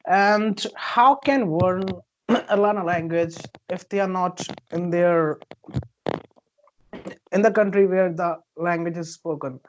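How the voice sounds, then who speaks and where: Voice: medium pitch, takes it slowly, dull audio, a little hiss or hum. An interview host who asks polite, formally worded questions and answers with short affirmations.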